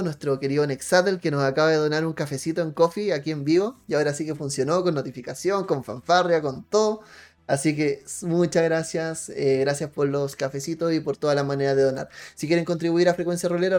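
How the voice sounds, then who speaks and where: A man's voice: a little high, darkish tone. A man chatting casually and enthusiastically as host of a live role-playing stream on a video call. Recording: music playing behind the voice.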